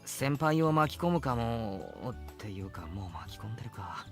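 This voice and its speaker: An easygoing teenage schoolboy, courteous but informal. He talks very fast with a lively, swinging pitch in visual novel style, with faint music underneath. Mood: sheepish. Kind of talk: Japanese visual novel voice acting.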